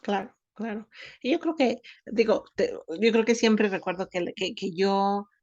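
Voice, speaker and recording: A woman, co-hosting a podcast and speaking thoughtfully in halting phrases. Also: mid-range voice, a little mellow, dry recording, highs cut off. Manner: thoughtful, halting, many restarts. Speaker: podcast co-host. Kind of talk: casual conversational podcast.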